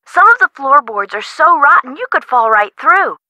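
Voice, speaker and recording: Casting: dramatic, easily rattled woman homeowner for a game; high, very bright voice, colloquial delivery. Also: normal speed, dry recording, full character voice. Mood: chatty, explanatory. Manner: emotive, expressive, colloquial. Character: frightened, dramatic woman homeowner, chatty and friendly. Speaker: voice actress in an adventure video game. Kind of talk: game character dialogue acting.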